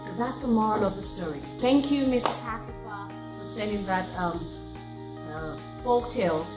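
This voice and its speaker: A woman telling folktales to viewers in a deliberate way, repeating phrases for emphasis. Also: medium pitch, echoey room, over music. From Nigeria. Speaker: storyteller and singer hosting a folktale channel. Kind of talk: oral folktale storytelling to viewers.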